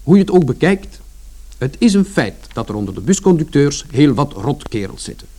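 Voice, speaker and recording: A man's voice: fairly high pitch, big pitch swings, moderate pace, dark tone. An actor playing an indignant, long-winded man who explains his side of events as narrator of a radio play. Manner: aggrieved, explanatory, colloquial.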